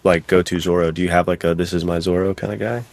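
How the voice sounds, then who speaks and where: A man's voice: low voice, fairly even intonation, fast talker, a little mellow. A man hosting a podcast in an informal, conversational way, chatting casually. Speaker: podcast host.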